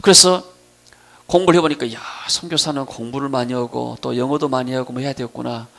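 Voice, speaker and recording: A middle-aged man with a Jeolla accent from Gwangju preaches a sermon with personal testimony, swinging between emphatic declarations and quieter storytelling. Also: mid-range voice, steady tone, fairly quick, vocal fry. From Gwangju region, South Korea. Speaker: pastor preaching.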